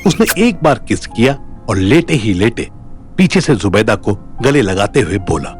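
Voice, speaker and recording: A man's voice: on the low side, sing-song, measured pace, soft background music. A man narrating an audio story in a dramatic, scripted style.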